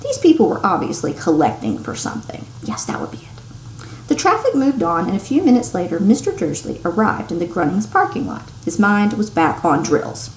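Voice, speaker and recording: A woman reads a children's story aloud expressively, like a teacher, with dramatic pauses, strong emphasis and hushed turns for suspense. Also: medium pitch, very animated. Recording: roomy echo, some background noise.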